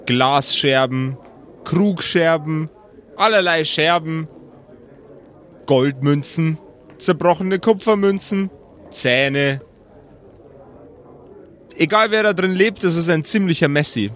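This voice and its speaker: A man narrating an improvised tabletop game as game master, deliberately and atmospherically. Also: a little high, a bit bright.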